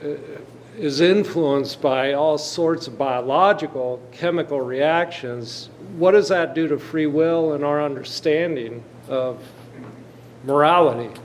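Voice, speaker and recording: A man's voice: on the high side, unhurried, slight background noise. A man in the audience asking a careful two-part question. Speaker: audience member.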